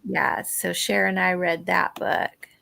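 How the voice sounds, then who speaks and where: A woman's voice: on the low side, level tone, slow, gravelly, sharp tone, a little hiss or hum. A woman hosting a livestream, chatting casually. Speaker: livestream host of crafting sprints. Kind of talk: casual chat.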